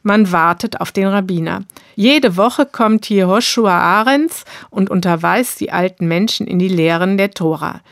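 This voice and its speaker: A woman reporter reading the scripted narration of a radio documentary in a calm, even way. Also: mid-range voice, measured pace, no room sound.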